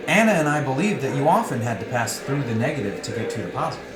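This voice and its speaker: A man's voice: mid-range voice, big pitch swings, fast talker, over a noisy crowd, natural voice. A man reading the part of a flippant, wry dinner companion in a novel, in a dry conversational manner. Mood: teasing, intense. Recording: roomy reading with music behind.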